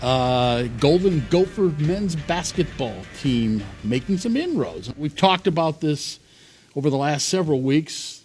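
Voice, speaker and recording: A man co-hosting a sports talk show, chatting loosely and laughing between points. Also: a little high. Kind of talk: casual sports chat.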